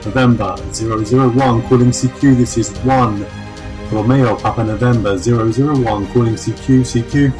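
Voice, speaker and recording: A man makes a radio operator's call in formulaic phonetic style. His voice sits at a medium pitch, with music clearly playing behind it. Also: steady tone, normal speed. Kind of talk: radio call with spelled-out callsign.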